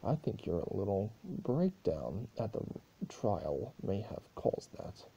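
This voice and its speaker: A man's voice: on the low side, sing-song, slow pace, creaky, dark tone, highs cut off, slight background noise, exaggerated voice. A man voicing a mischievous male spirit of chaos in a theatrical, needling story reading. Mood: sly, needling. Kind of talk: dramatic fan-fiction reading.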